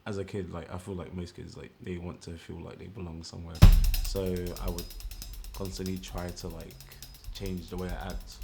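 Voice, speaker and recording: Deep gravelly young man. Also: flat delivery, over loud music. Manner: halting, casual, filler-heavy. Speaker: documentary interviewee. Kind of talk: candid interview.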